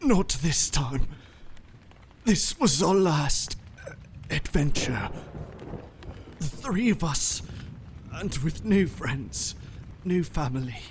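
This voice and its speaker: A man's voice: a little high, lively intonation, a little hiss or hum. An actor playing a dying male adventurer who speaks weakly and tenderly to his friends in an audio drama. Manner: weak, halting, tender.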